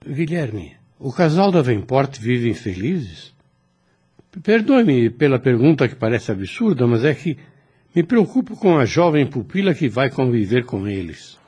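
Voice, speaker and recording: Husky, anxious priest, swinging intonation. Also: male voice, medium pitch, sing-song, measured pace, mellow. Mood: worried probing. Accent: Brazilian. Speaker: radio drama actor. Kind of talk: Brazilian radio soap acting.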